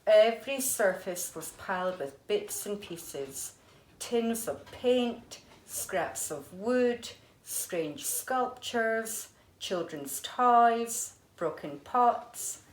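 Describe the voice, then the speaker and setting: A woman's voice: fairly high pitch, lively intonation, extremely slow. A woman, an art teacher, reading a children's storybook aloud expressively. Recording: echoey room.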